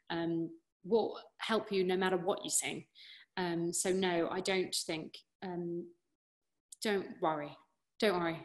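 British woman, 20s, warm casual Q&A chat, webcam sound from a living room. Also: lowish voice. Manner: chatty, encouraging, many fillers and restarts. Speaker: opera singer answering questions. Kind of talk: casual online Q&A. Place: living room at home, indoors. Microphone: laptop webcam on a video call.

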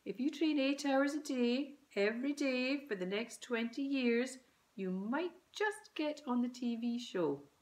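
A woman voicing a weary, gruff middle-aged dad who speaks bluntly. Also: high voice, animated, a little slow, a little mellow, pitched above the performer's own voice. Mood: earnest.

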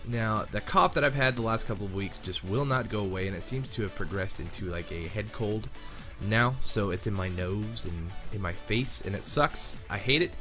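A man's voice: lowish voice, normal speed. A young man with a head cold, his voice nasal and scratchy, chatting casually while playing a game. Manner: rambling, chatty, many fillers like "um" and "like". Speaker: Minecraft YouTuber. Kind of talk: casual commentary over gameplay. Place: home room, recording near a sleeping girlfriend. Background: background music.

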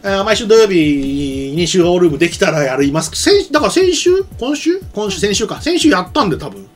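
Lively, quick-talking middle-aged man. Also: sits high. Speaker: show co-host and writer. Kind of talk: casual chat with announcements. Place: small studio set, indoors. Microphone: desk microphone. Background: music in the background.

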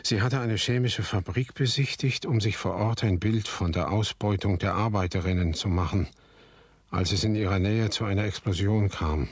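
A melancholy son telling his family's story in a radio play. His voice is low and husky, recorded close and dry, with a scripted narrator's measured delivery. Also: no room sound.

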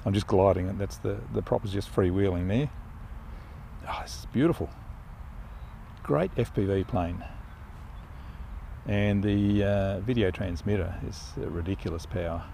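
An older man flying a model plane in an open field outdoors, giving relaxed, enthusiastic commentary as he flies. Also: sits low, moderate pace, vocal fry, pauses often, a little hiss or hum. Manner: relaxed, enthusiastic, thinking aloud while flying. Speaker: RC pilot reviewing a plane. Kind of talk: casual live flight commentary.

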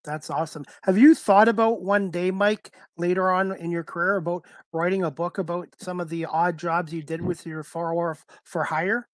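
A man's voice: high voice, fairly even intonation, normal speed, few pauses, dry recording. A middle-aged man casually interviewing a guest as talk show host, speaking into a headset. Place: indoors, video call.

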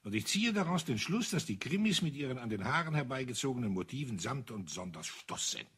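An actor playing an old retired officer in a crime radio play, telling things in a deliberate, matter-of-fact, bitter way with a husky voice. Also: male voice, mid-range voice, very animated, fairly bright tone, heavily acted. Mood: dry, explanatory. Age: older.

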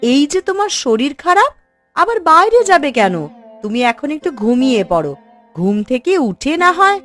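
A woman's voice: high-pitched, big pitch swings, normal speed, a bit bright. A woman voicing a nagging but caring village housewife in a cartoon story. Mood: concerned. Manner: scolding, sarcastic. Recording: music bed behind.

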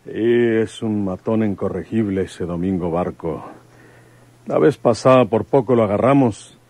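Actor as a low-voiced rural detective. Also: male voice, unhurried, background noise, lightly acted. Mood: firm, dutiful. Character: devoted, plain-spoken rural Mexican detective. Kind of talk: radio drama dialogue.

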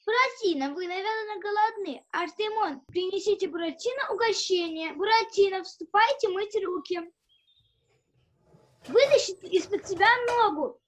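A very high young girl's voice from a child actor plays a prim doll. She lectures and corrects like a small strict governess, in a children's radio play. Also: lively intonation, normal speed. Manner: prim, scolding, instructive. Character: prim bossy girl doll playing governess. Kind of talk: children's audio play acting.

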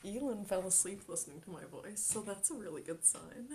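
A young woman talks casually into a desk mic in a small bedroom, laughing easily and thanking viewers as she goes. She often slips into soft, breathy near-whispers between her normal chatty lines, and a faint low rumble sits under the room. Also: lively intonation, fairly quick. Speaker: Twitch streamer. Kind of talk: casual live-stream chat, reading chat aloud.

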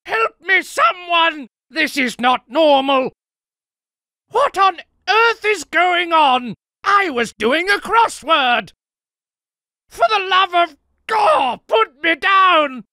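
A man's voice: extremely high pitch, big pitch swings, takes it slowly, pushed and bright, dry recording, studio quality, full character voice. A man voicing a fussy, indignant middle-aged civilian for improvised video game lines, prim, whiny and pleading. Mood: panicked.

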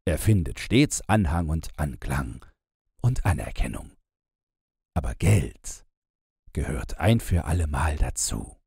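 A male audiobook narrator reads a bedtime fairy tale with wry, measured delivery. His low voice is very husky and mellow, recorded close and dry. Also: big pitch swings, no room sound.

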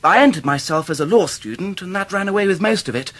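An actor playing a cheerful, modest young law student with an English accent and an earnest, guileless, chuckling manner in a radio drama. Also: male voice, high-pitched, lively intonation, fast, fairly bright tone. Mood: candid, good-natured.

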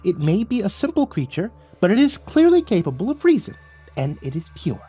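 A man voicing a small, humble sprite courtier who reasons gently and formally in a fantasy story. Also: sits high, huge pitch swings, brisk, slightly rough, faint music behind, voice pushed up, exaggerated voice. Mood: deferential.

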